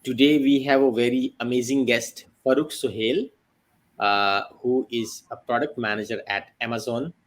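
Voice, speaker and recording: Male podcast host with halting phrasing. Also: medium pitch, steady tone. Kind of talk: interview, welcoming a guest.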